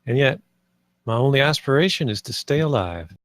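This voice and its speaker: A man's voice: mid-range voice, expressive, normal speed, dry recording, clean studio sound, natural voice. A man playing a wry, self-interested space mercenary in a screenplay table read. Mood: wry, reflective.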